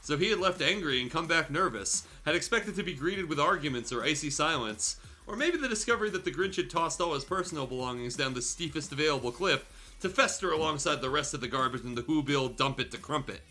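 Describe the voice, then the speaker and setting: A man's voice: on the high side, expressive, quick pace, sharp tone. A man hosting a livestream, giving a theatrical comedic reading of fan fiction with irreverent, sweary asides. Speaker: guest host reading fan fiction aloud on a livestream show. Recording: music playing behind the voice. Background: music.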